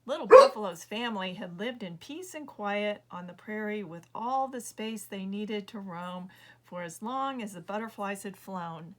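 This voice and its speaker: Woman, medium-pitched voice, children's storybook narration, measured expressive storytelling, author reading aloud.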